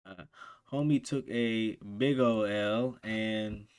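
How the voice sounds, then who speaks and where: A man's voice: fairly low pitch, steady tone, takes it slowly, darkish tone, clear, resonant tone. A man hosting a reaction video, talking in laid-back, slangy banter. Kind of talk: casual reaction commentary.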